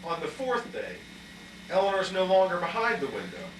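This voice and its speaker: A man's voice: high-pitched, huge pitch swings, moderate pace, fairly bright tone. A man narrating a scripted puppet show, announcing scenes as he reads. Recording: echoey room, distant mic, noisy.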